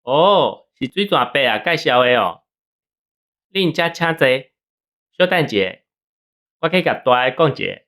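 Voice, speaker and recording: A man's voice: fairly high pitch, animated, a little slow, long pauses, fairly bright tone, pitched above the performer's own voice. A man playing a slick, eagerly obliging young gangster loan salesman with a glib Taiwanese-accented delivery in a radio drama. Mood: businesslike, polite.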